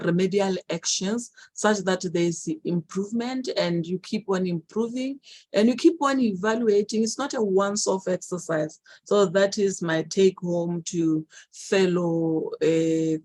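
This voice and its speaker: A woman from Botswana with a Botswana accent, a podcast guest giving measured, earnest answers and repeating words for emphasis. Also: fairly low pitch, a little mellow. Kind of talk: interview answers.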